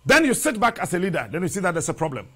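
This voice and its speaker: A middle-aged Ghanaian man hosting a TV studio show, delivering a preachy, animated monologue in Twi and English, repeating phrases rhythmically into a lapel mic. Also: high-pitched, rapid-fire, breathy edge. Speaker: TV host. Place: TV studio with brick wall.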